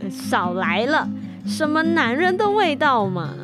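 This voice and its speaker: A woman playing a caring, gently teasing mother in a radio drama. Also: sits high, big pitch swings, slow pace, loud music behind the voice, full character voice.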